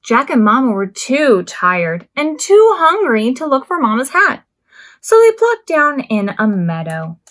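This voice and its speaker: A woman hosting a preschool storytime as a children's librarian, reading picture books aloud expressively with drawn-out words. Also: high voice. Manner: expressive, drawn-out words and acted sound effects.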